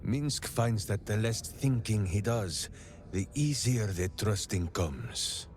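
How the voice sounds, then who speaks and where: An actor playing a hearty, simple-minded heroic warrior in a video game, speaking with theatrical, earnest grandeur. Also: male voice, fairly low pitch, expressive, slow, darkish tone. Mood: solemn, earnest. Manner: grandiose, earnest third-person speech. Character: hearty, devoted, simple-minded heroic warrior man with beloved pet hamster. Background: game music.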